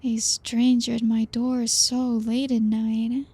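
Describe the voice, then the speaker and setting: A woman playing a slow teasing vampire lady. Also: fairly high pitch, level tone, breathy edge, exaggerated voice. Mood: coaxing. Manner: slow, teasing and coaxing, soft-spoken with soft laughs; drops into whispers. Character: lonely teasing female vampire, seductive and possessive. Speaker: ASMR roleplay performer. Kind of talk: ASMR roleplay.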